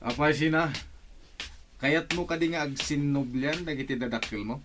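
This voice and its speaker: A man's voice: fairly high pitch, moderate pace, background noise, lightly acted. A man playing a sneering, threatening middle-aged uncle in a radio drama. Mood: menacing, taunting. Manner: taunting, threatening. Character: sneering, menacing uncle, mother's new partner. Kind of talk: Filipino radio drama acting.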